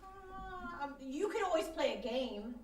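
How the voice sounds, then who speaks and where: A very high, animated voice in a roomy stage recording, a fussy older neighbor lady, acted by a woman. She is chatty and insistent in a radio-comedy style. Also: a little slow, noisy background. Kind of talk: old-time radio comedy acting before an audience. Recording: roomy live stage, distant microphone.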